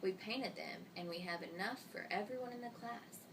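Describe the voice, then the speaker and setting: A woman's voice: mid-range voice, moderate pace, natural voice. A woman voicing a friendly, earnest young schoolboy in a children's storybook. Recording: distant mic, loud noise.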